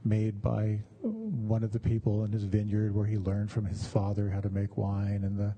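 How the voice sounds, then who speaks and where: A man with a deeply mellow, relaxed voice that is husky and edged with creak. He talks like a meditation teacher sharing stories with students. His delivery is measured and halting, with room left between phrases. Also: muffled recording. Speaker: meditation teacher giving a talk. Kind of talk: conversational dharma talk with personal stories.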